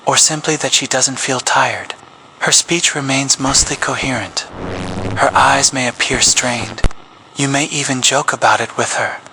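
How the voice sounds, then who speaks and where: A man reading a scripted public safety announcement as an emergency broadcast announcer, in a grave, measured official tone. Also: medium pitch, bright, forceful tone, a little hiss or hum, poor audio. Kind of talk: scripted horror narration.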